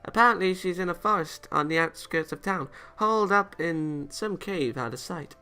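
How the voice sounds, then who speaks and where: A determined young angel girl, as acted by a man, speaks in a raised, bright voice. She is brisk, matter-of-fact and teasing, in visual novel style, with faint music underneath. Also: fairly high pitch, clean tone, voice pushed up. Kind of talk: visual novel character read-aloud. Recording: music behind the voice.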